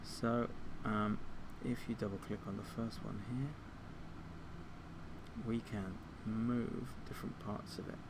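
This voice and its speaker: Low-voiced man teaching, noisy recording. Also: fairly even intonation, slow. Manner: explanatory, step by step. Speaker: music technology teacher. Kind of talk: informal software tutorial narration.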